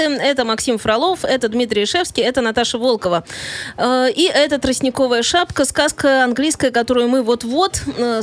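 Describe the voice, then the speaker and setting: High-voiced woman reading a fairy tale. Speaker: storyteller, presenter. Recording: studio reading with music.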